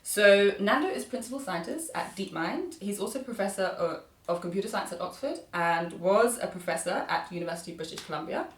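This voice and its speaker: A woman's voice: medium pitch, expressive, brisk, hardly any pauses. A woman hosting a podcast at a conference, asking guests polite, prepared questions. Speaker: podcast host. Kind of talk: conversational podcast interview. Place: conference venue, indoors. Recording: echoey room, distant mic, faint noise.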